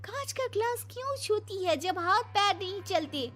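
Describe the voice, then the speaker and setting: A woman's voice: very high voice, animated, moderate pace, a bit bright, pitched above the performer's own voice. A woman voicing a spoiled, haughty young rich girlfriend, demanding and affected in a cartoon story. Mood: scolding. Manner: affected, demanding, mixes in English words.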